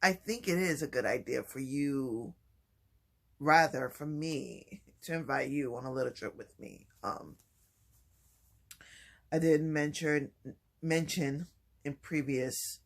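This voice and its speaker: A man talking softly and haltingly in a personal vlog, with many pauses and restarts. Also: vocal fry. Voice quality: low voice. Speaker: vlogger. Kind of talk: personal vlog, reflective talk.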